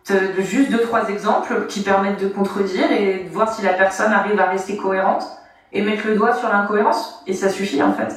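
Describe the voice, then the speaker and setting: A woman's voice: medium pitch, fairly even intonation, fairly quick. A woman talking casually with lots of filler words as she explains her view. She sounds far from the mic, in a very echoey space. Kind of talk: live online debate.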